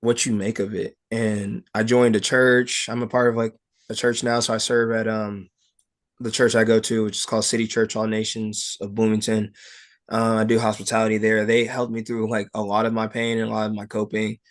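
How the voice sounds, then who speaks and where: A young man with a fairly low voice gives casual, personal answers in an interview. He speaks as an athlete, haltingly, often padding his sentences as he finds the next thought. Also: flat delivery. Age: young adult. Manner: halting, frequent "like" and "you know". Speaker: wrestler being interviewed.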